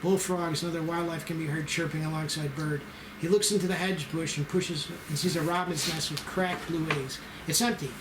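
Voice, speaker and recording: A man's voice: on the high side, fairly even intonation, brisk. A man narrating scene directions aloud at an amateur screenplay table read. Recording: roomy echo with clear noise.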